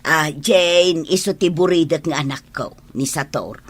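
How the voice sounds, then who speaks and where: A woman playing a doting, chatty elderly Filipino mother in a radio drama, coaxing and motherly with a husky voice. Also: sits low, a little slow. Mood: coaxing, fond. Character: doting elderly mother, a cheerful matchmaking senior citizen.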